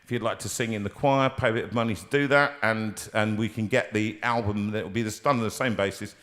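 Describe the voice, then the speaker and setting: A man's voice: on the low side, steady tone, fast, a bit bright. A man, a singer, chatting casually on a live stream from a music room, rambling with many fillers and restarts.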